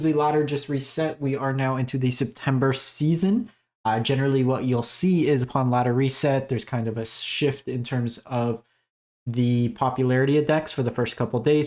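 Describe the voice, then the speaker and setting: A man hosting a casual game strategy breakdown, his voice raspy as he is losing it, talking in a conversational way with many fillers. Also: mid-range voice, darkish tone. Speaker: host. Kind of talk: casual gaming commentary.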